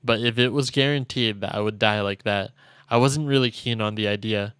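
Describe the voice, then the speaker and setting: A man streaming a visual novel, reading its text aloud as dramatic, measured narration. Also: lowish voice, fairly bright tone, clear, resonant tone, dry recording, studio quality. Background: game music.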